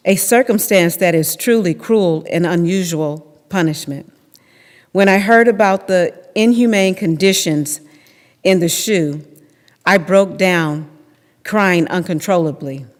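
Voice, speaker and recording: A woman's voice: lowish voice, measured pace. A middle-aged woman reading a scripted personal testimony in a readers theater. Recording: echoey hall reverb.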